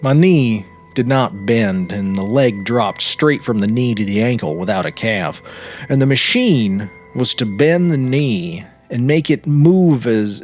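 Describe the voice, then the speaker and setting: A man narrating a literary short story in a measured, dramatic reading with deliberate pauses. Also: medium pitch. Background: music.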